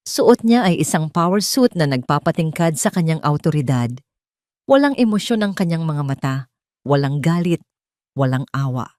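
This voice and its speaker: Female storyteller, lowish voice, dry close recording. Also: darkish tone, dry recording. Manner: dramatic storytelling, reading all parts. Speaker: narrator. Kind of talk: scripted audio drama narration.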